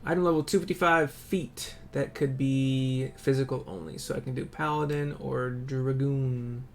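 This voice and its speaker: A man's voice: mid-range voice, fairly even intonation, moderate pace, gravelly. Male let's-play streamer, offhand and rambling, talking half to himself, light background noise and typing. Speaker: gaming streamer. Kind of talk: casual game commentary. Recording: faint noise with keyboard typing.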